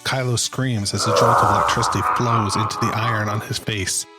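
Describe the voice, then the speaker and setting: Low-pitched man narrating a dramatic script. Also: brisk, over loud music.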